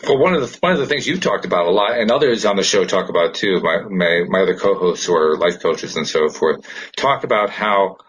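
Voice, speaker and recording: A man hosting a podcast in casual conversation, with a thoughtful, halting delivery. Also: low-pitched, animated, fairly bright tone, husky, highs cut off. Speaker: podcast host.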